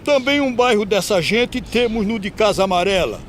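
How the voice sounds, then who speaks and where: An actor playing a weary, complaining veteran gravedigger in an animated film, speaking plaintive rhythmic verse. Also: male voice, sits high, normal speed, a little hiss or hum. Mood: wistful, wry.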